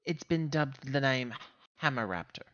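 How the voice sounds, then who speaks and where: A man's voice: mid-range voice, expressive, measured pace, fairly bright tone, no echo. A man playing an earnest corporate agent briefing his crew in a tabletop roleplay, hesitating as he searches for words, on a lo-fi recording. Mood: explanatory.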